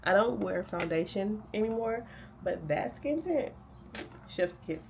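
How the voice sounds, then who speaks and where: A woman's voice: medium pitch, expressive, measured pace, gravelly, noisy background. A young woman vlogging casually while getting ready to study, sounding nasal from a cold, chatty and rambling with lots of fillers. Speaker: vlogger and graduate student. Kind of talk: casual day-in-the-life vlog chat.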